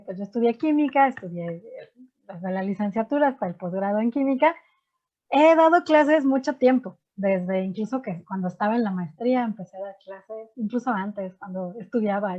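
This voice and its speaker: A woman with a Mexican accent, a chemistry teacher in her thirties, talking casually as a radio guest and filling pauses with "este" and "pues". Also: mid-range voice, dull audio. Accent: Mexican Spanish. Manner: chatty, frequent fillers like "este" and "pues". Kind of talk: relaxed radio interview chat.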